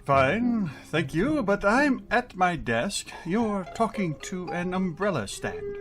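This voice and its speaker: An actor playing a grouchy, pompous school principal in a comedic radio play, with a blustering manner. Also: male voice, high voice, big pitch swings, takes it slowly, heavily acted. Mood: irritated. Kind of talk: comedic old-time radio play acting.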